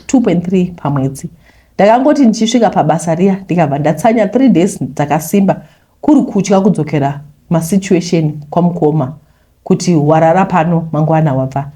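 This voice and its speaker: A Zimbabwean woman narrates a personal confession at a brisk pace. Her voice is soft-edged and mellow, sitting a little low. She keeps it conversational, slipping English phrases into the story. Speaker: YouTuber reading a listener's confession.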